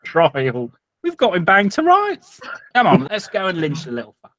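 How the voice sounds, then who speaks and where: A man voicing a gruff, mocking town constable who swears crudely and is eager for a hanging. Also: high-pitched, huge pitch swings, moderate pace, a bit bright, no room sound, voice pushed up, full character voice. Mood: scornful.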